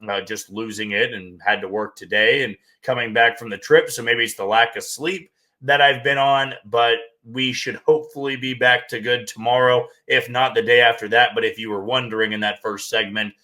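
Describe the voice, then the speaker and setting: A man hosting a sports podcast with a hoarse voice that he is losing, giving frank, plain opinions. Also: medium pitch, steady tone, normal speed, pushed and bright. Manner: talks straight through with frank, plain opinions. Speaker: podcast host.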